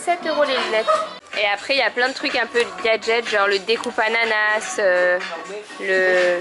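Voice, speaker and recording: A woman's voice: fairly high pitch, steady tone, moderate pace. A young woman vlogging casually outdoors, chatty and teasing, laughing often. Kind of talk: casual travel vlog. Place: outdoors at a busy seaside town. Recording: busy street crowd and music.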